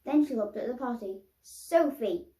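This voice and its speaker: Woman reading kids picture book out loud, real slow, expressive, echoey room. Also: a little high. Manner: expressive, pausing to explain the pictures. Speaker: storybook reader. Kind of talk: children's picture book read-aloud. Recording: roomy, echoing sound.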